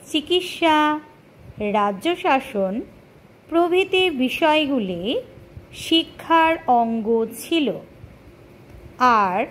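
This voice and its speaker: High-pitched woman teacher, slow dictation. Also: slight background noise. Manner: deliberate, pausing between short phrases as if dictating. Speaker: teacher presenting a history lesson. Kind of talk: slow question-and-answer lesson dictation.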